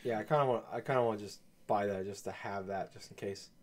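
A man co-hosting a casual gaming podcast, talking in a laid-back, hesitant way with many ums and restarts, his voice slightly gravelly from a coming cold. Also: on the low side, fast. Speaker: podcast co-host.

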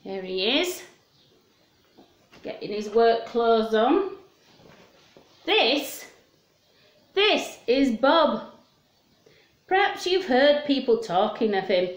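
A roomy home recording of a woman. Her voice is fairly high and she takes her time, speaking very slowly. She presents a story to young children in simple words, putting questions to them as she reads. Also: sing-song. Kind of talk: reading a picture book aloud to children. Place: small room at home, indoors.

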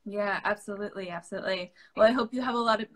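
A woman's voice: medium pitch, fairly bright tone. Woman in her thirties, event host on a home webcam, upbeat and agreeable. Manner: friendly, encouraging, quick to agree. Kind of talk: casual video call interview. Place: home room indoors. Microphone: video call webcam.

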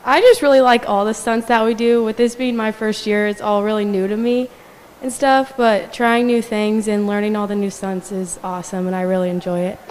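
A woman's voice: medium pitch, steady tone, fairly quick, darkish tone. A teenage cheerleader speaking earnestly about her team. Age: teens. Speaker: cheerleader guest. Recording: echoey hall.

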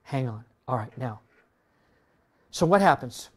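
A man in his sixties preaching a sermon in a church room, conversational, tossing in "right?" and swinging between loud and soft. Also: medium pitch, lively intonation, measured pace. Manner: conversational preaching, tag questions, dynamic swings in loudness. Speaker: pastor. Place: church room with projector screen.

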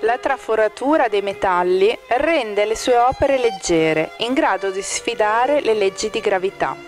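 A woman reading a scripted art-exhibition commentary in a measured, formal manner. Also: medium pitch. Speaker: exhibition narrator. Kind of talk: scripted art-critic narration.

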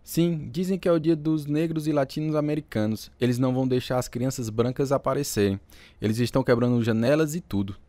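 A man's voice: mid-range voice, fast talker, mellow, no room sound, close to an everyday voice. A man playing an agitated, earnest teenage boy in a radio drama. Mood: uneasy.

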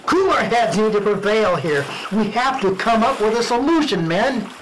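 Radio spoof: urgent, exasperated small-town police chief played by a man, high and animated. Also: normal speed, a little hiss or hum, low-quality recording. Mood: insistent. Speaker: amateur radio drama actor. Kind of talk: spoof radio drama acting. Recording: music bed under the voice.

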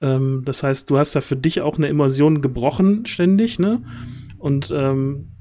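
Man with higher-pitched voice, halting, thoughtful. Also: warm tone. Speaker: tabletop role-play streamer and player. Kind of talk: casual chat, giving feedback after a game. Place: indoors on a group video call.

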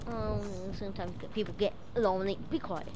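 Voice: mid-range voice, steady tone, fairly quick, darkish tone, slight background noise. A female player improvising a roleplay over game voice chat, confrontational and commanding in manner.

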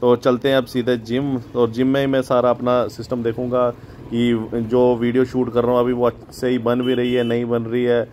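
A man's voice: medium pitch, completely flat delivery, normal speed, darkish tone, slight background noise. A man vlogging casually as he rides a motorbike outdoors, rambling and thinking aloud, recorded on a phone mounted on the bike. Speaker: motovlogger. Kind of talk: casual vlog chat. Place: outdoors riding a motorbike on the road.

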